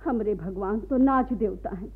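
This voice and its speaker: An actress playing a blunt, sceptical peasant wife in a radio play, grumbling tartly in a rural eastern Uttar Pradesh accent. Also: female voice, mid-range voice, normal speed, breathy edge, natural voice. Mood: sceptical, bitter. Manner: grumbling, tart, rustic. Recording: muffled narrow-band radio recording.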